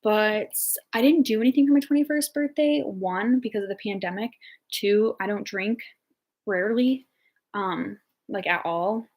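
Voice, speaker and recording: Young woman nursing student rambling thru viewer q&a, lots of likes and ums, normal pitch. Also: moderate pace, darkish tone. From Ohio, United States. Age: 20s. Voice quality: chatty, filler-heavy, upbeat. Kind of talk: casual chat answering viewer questions.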